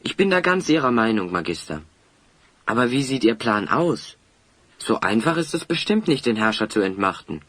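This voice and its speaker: An actor playing a loyal, polite young adventurer in an audio drama, speaking in a measured, confident way. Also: male voice, mid-range voice, sing-song, a bit bright, dry recording. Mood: sceptical, respectful. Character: loyal, level-headed young male adventurer. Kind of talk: German audio drama acting.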